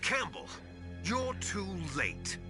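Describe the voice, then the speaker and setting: A man playing a smug, theatrical video game villain, a treacherous brother who gloats in a drawn-out, mocking way. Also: high voice, wildly swooping pitch, over music, exaggerated voice. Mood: menacing. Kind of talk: dramatic video game voice acting.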